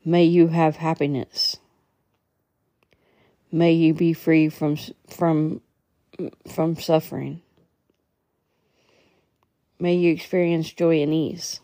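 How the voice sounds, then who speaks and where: A woman with a low, soft-edged mellow voice guides a meditation. She reads in a measured, soothing way, now and then tripping on a phrase. The sound is close and dry, with very little room around her. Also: little pitch movement, no echo. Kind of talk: guided meditation, slow instructional reading.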